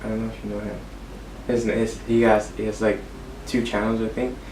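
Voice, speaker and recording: A man's voice: on the low side, flat delivery, brisk, darkish tone, roomy sound, far from the mic, a little hiss or hum, rough recording. A young man from California, co-hosting a casual vlog, answering questions in an easygoing, rambling way. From Inglewood, California. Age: young adult. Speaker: vlogger co-host. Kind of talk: casual Q and A chat.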